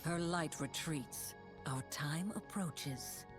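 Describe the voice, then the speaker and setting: An actress playing a solemn, resolute moon warrior woman in a video game, speaking in a measured, grave, poetic way. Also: deep voice, over music. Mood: brooding, calm.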